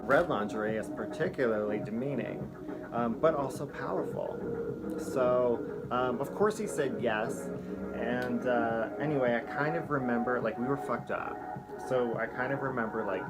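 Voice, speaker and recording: A middle-aged man spins a campy, foul-mouthed story, drifting off on tangents with plenty of fillers. His voice sits at an ordinary middle pitch. Clear music plays behind him in a small home room. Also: normal speed. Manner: campy, profane, chatty, full of fillers. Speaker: drag performer and show host. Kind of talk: rambling comic storytelling monologue.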